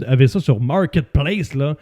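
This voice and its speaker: A man with a Québécois accent hosting a casual comedy show, telling stories in an animated way with frequent laughter. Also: fairly high pitch, moderate pace. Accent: Québécois French. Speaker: host. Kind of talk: casual comedy podcast chat.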